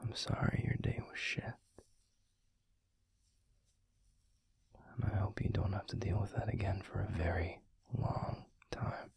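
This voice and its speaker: A man performs a protective alpha werewolf boyfriend for an intimate audio roleplay. His voice is very husky and breathy, often dropping to a near whisper. He speaks slowly and soothingly, with a gentle, tender care. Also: low voice, exaggerated voice. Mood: caring, gentle. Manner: slow, soothing, unhurried. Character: gentle, protective adult male werewolf boyfriend, pack leader.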